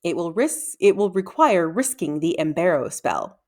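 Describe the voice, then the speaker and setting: Gruff old male wizard for a children's storybook, curt, impatient and commanding, played by a woman, with animated pitch. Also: moderate pace. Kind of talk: children's book read-aloud character voice.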